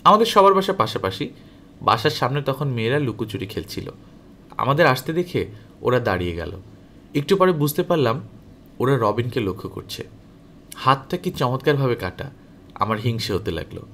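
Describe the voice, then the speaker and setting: A man reading a story aloud as an audiobook narrator, in an expressive, animated way that dramatizes the dialogue. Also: mid-range voice, normal speed, pauses often, slight background noise. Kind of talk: expressive scripted story narration, first-person as a boy.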